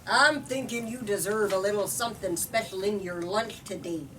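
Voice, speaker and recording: A woman's voice: mid-range voice, moderate pace, a bit bright, lightly acted. Joking, kindly young father voiced by a female reader, emphatic storybook delivery, noisy room. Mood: teasing. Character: young father, mid-thirties, joking, kindly farm dad. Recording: distant mic, noisy room ambience.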